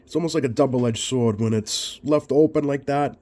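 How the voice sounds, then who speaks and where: A man's voice: mid-range voice, moderate pace, mellow. A man giving casual, off-the-cuff narration as a repair technician, thinking aloud in a hesitant way. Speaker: radio repair technician hosting a bench video.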